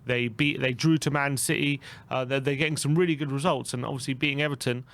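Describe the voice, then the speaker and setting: A man's voice: mid-range voice, fairly even intonation, quick pace, fairly bright tone, dry recording. A man, co-hosting a casual football chat, talking in a rambling way with many restarts. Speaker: co-host.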